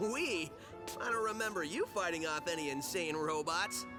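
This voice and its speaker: A man plays a young, self-assured hedgehog hero in an animated game. The delivery is laid-back and breezy, full of wisecracking confidence. Loud music runs underneath. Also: sits high, moderate pace, close to an everyday voice. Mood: cocky. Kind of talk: animated video game cutscene acting.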